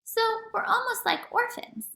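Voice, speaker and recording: A woman's voice: extremely high pitch, lively intonation, moderate pace, gravelly, pushed and bright, voice pushed up, full character voice. A woman voicing an earnest, hopeful ten-year-old girl in a storybook reading. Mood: confiding. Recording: echoey room.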